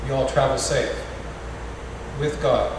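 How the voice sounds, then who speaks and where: A middle-aged man reads a poem in a gentle, wry, unhurried way. He is picked up from across a big reverberant parish hall, with a steady hiss of noise under his voice. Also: mid-range voice, creaky. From United States. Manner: gentle, wry, measured reading with pauses. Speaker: parish artist in residence reading a poem. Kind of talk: poetry reading at a farewell gathering. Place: large echoey parish hall. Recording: echoey hall from far off, noisy.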